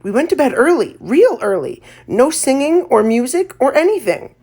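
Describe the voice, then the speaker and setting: An earnest country boy of about ten, acted out by a woman reading a storybook. The voice is raised in pitch and anxious. He pleads and presses with questions in a children's tale. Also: very animated, normal speed, darkish tone, husky, full character voice. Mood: worried. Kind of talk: children's storybook read-aloud.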